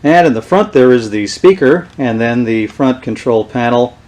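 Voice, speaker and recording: Man, mid-pitched voice, unscripted demo presenter, halting unhurried delivery. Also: expressive, darkish tone. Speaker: presenter showing vintage radio gear. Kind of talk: unscripted show-and-tell demonstration.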